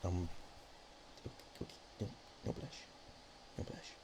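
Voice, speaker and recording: A man's voice: takes it slowly, close to an everyday voice. A man voicing a bashful, affectionate dragon girl in an intimate ASMR roleplay, stammering and hesitant. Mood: bashful, awkward. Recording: faint background noise.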